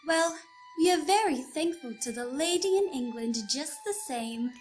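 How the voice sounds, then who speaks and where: A woman's voice: high-pitched, animated, normal speed, darkish tone, slight background noise. An actress playing a polite, wide-eyed country woman of the 1910s in a nostalgic radio play, genteel and exclaiming. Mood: gracious.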